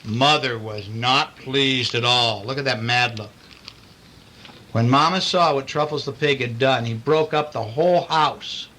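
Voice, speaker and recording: A man's voice: medium pitch, unhurried, pushed and bright. A man, a father, reading a bedtime storybook to children with playful asides and acted-out touches. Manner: playful, acts out lines and sound effects. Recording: noisy amateur home recording.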